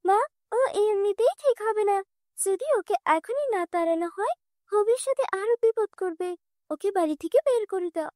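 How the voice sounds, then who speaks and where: A woman's voice: very high-pitched, lively intonation, moderate pace, warm tone, dry recording, studio quality, voice pushed up. A woman voicing a spoiled, spiteful young stepsister in a cartoon fairy tale, whiny and demanding.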